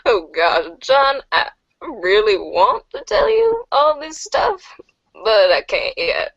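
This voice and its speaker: Casting: woman to play evasive, teasing girl confidante for amateur webcomic drama; slow, expressive delivery, muffled sound. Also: a little high, no room sound, full character voice. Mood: teasing, apologetic. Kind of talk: amateur reader's theater of a webcomic.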